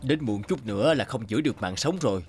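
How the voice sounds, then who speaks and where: A man voicing a stern, reproachful doctor in an animated drama, brisk and authoritative. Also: medium pitch, soft background music, lightly acted.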